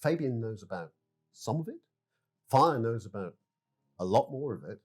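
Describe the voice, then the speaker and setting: A man explaining game design in an interview, speaking in deliberate, broken phrases with frequent hesitations. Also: mid-range voice, animated, darkish tone, breathy edge. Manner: halting, deliberate, measured phrases. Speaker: game developer being interviewed.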